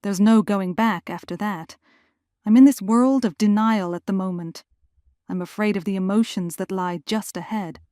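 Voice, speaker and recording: A woman acting in an audio drama as a gentle, anxious mother who is grieving. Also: medium pitch, normal speed, clean tone, dry recording, studio quality, lightly acted. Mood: nervous, tender. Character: gentle grieving mother, returned after twenty years, anxious.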